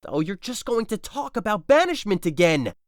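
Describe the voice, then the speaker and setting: A man's voice: high voice, very animated, moderate pace, dry recording, clean studio sound. A man playing a lovesick, despairing young man in a modern-language Shakespeare audio drama, melodramatic and anguished. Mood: anguished, indignant.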